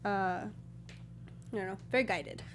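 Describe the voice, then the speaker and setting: A woman's voice: medium pitch, unhurried. A woman co-hosting a talk show, chatting casually about video games.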